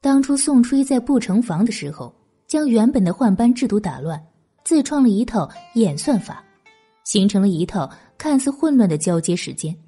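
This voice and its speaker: A woman's voice: mid-range voice, sing-song, moderate pace, warm tone, husky. A woman narrating a historical novel in scripted audiobook style. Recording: background music. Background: music.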